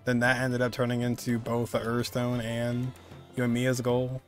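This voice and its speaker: Casting: male game streamer, twenties, medium pitch, casual reaction commentary over faint music. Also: completely flat delivery, a little slow. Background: game music and sound.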